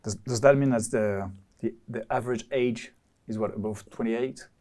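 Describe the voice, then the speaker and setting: A man's voice: mid-range voice, moderate pace, a little mellow. A man interviewing students and staff, asking questions informally with some stumbling.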